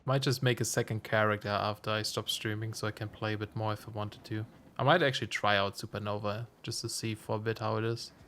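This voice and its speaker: Slightly hoarse male game streamer. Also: on the low side, fairly even intonation, fast talker, few pauses, a bit bright, slight background noise. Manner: chatty, muses aloud with self-amused asides. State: slightly hoarse, losing his voice. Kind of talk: casual let's-play commentary.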